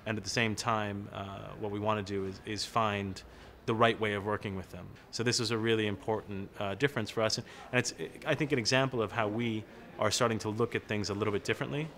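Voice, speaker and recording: Lower-voiced man giving an interview. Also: fairly even intonation, a little fast, hardly any pauses, a bit bright, slight background noise. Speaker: corporate innovation team representative. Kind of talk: informal on-camera interview. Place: large open-plan office, indoors.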